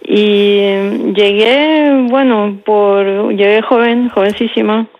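A woman's voice: medium pitch, fairly even intonation, takes it slowly, narrow, tinny audio. A woman, a writer answering interview questions in a reflective way, often tagging "¿no?" and repeating words. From Peru.

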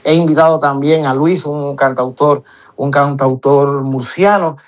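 A middle-aged Cuban man, an improvising poet, answering interview questions in an animated way with frequent restarts. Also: fairly high pitch, unhurried. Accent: Cuban Spanish. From Cuba. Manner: animated, lots of repeated restarts.

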